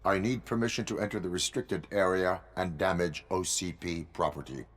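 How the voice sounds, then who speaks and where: A cyborg police officer from a video game, with a very deep voice. He speaks in flat, clipped, procedural lines without warmth. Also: moderate pace. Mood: stern.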